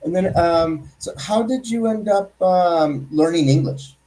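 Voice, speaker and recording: Man hosting, mellow voice. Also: sits high, unhurried, rough recording. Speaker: host. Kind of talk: casual interview.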